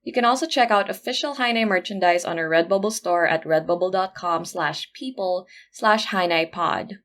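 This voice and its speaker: A woman's voice: lowish voice, normal speed, fairly bright tone. A woman podcast producer reading a scripted outro with credits and announcements.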